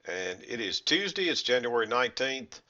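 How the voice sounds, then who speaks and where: A middle-aged man with a hoarse, congested voice from a head cold, explaining chart trades in a rambling, self-correcting way. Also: mid-range voice, very animated, moderate pace, bright, forceful tone, dull audio. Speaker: trading educator. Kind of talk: screen-share trading recap.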